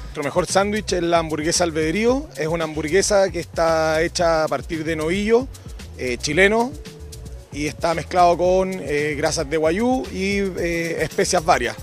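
A man at an outdoor food fair stall speaks into a handheld mic, his voice set high. He works through a list of ingredients with brief hesitations while faint music plays. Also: normal speed. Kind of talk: interview answer.

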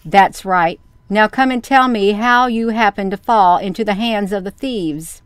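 A woman voicing a wise motherly fairy in a storybook, patient and gently admonishing. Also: mid-range voice, unhurried, smooth, no room sound, lightly acted. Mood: gently scolding.

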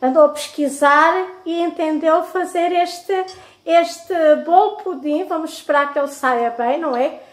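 Middle-aged female vlogger, European Portuguese accent, high-pitched, chatty and familiar, recorded in an echoey kitchen. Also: measured pace. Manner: chatty, affectionate address to viewers. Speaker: home vlogger. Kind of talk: casual chat to viewers. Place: home kitchen, indoors.

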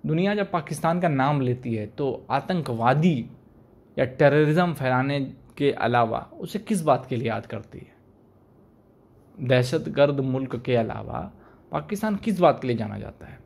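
A man's voice: mid-range voice, animated, measured pace, vocal fry, darkish tone. A young man talking to camera as a video commentator, arguing pointedly and asking rhetorical questions, recorded with a desk microphone in a small room. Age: young adult. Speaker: YouTube commentator. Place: small indoor room, plain wall. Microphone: desk microphone in front.

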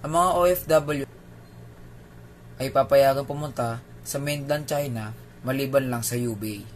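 A man's voice: medium pitch, unhurried, slight background noise, rough recording. A man with a Filipino accent hosting a video, reading a scripted news explainer. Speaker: video host.

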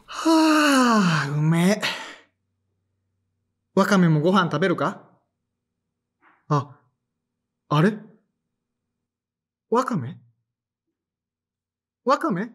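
A man's voice: high voice, sing-song, extremely slow, long pauses, heavily acted. An actor playing an earnest, emotional young man who dreams of acting, both narrating and speaking in an audio drama. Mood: frantic. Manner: earnest, emotional, sighs and pleads. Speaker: narrator and lead character. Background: soft background music.

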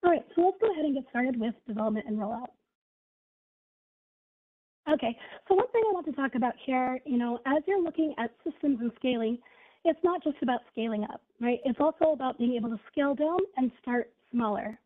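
Husky-voiced woman on a tinny line. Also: sits high, lively intonation, a little fast. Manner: upbeat, uses many fillers like "you know" and "right". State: scratchy voice from illness. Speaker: webinar presenter, product manager. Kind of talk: presenting slides in a webinar. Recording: tinny phone-line audio.

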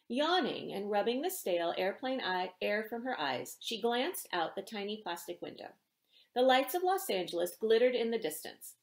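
Expressive woman reading aloud, roomy sound. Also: mid-range voice. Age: middle-aged. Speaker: author reading from own novel. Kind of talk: expressive read-aloud narration of a romance novel. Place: indoor room with bookshelves. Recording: roomy indoor echo.

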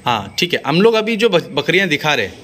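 A man's voice: on the high side, very animated, fast, bright, forceful tone. A man hosting a casual vlog interview, asking questions and repeating answers back to confirm. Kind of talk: casual on-camera interview about livestock. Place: open-sided livestock shed. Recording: noisy open shed with farm ambience.

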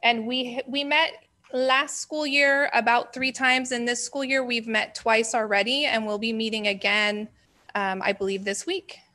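Woman director presenting to the board, bright forceful voice, a touch high. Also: fairly even intonation, a little slow. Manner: projecting, strong voice. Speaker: special education director.

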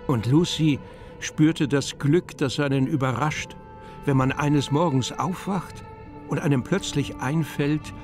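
A man's voice: mid-range voice, darkish tone. A man narrating a children's story from a script as part of an audio drama. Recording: music under the voice.

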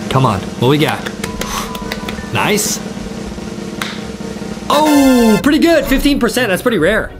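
A young man hosting a gaming video with excited, repetitive commentary, talking straight to his viewers. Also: high-pitched, takes it slowly, long pauses. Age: young adult. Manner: animated, repetitive, addressing viewers. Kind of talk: excited let's-play commentary. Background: game music.

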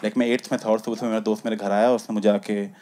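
A young man doing stand-up storytelling on a comedy club stage with a handheld mic. He acts out the scenes, dropping low for asides and jumping high for the punchlines. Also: level tone, very fast, slight background noise. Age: young adult. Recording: live club audio with loud audience laughter.

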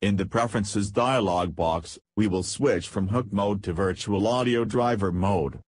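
Husky, low-voiced man narrating a tutorial. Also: measured pace, no room sound. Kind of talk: scripted software tutorial narration.

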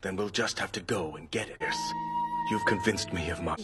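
An actor in an anime dub playing a composed, formal, haughty gentleman. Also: low-pitched, huge pitch swings, normal speed, music in the background. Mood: urgent, composed.

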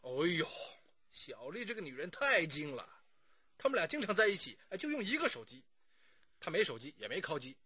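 A man's voice: a little high, sing-song, fairly quick, lo-fi sound, crowd noise. An actor playing a talkative, self-justifying middle-aged man under police questioning in a radio drama, pleading and sighing as he explains. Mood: explaining.

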